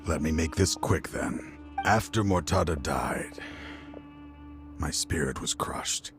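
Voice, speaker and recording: An actor playing a proud, weary middle-aged father and former partner in a video game, with a theatrical, brooding, deliberate delivery. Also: a bass voice, exaggerated voice. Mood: sorrowful, reflective. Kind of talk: dramatic video game voice acting. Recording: light music behind the voice.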